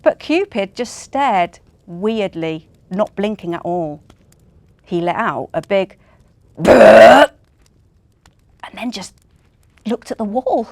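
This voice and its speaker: Animated woman storyteller. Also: mid-range voice, slow pace, no room sound. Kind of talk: expressive children's story reading.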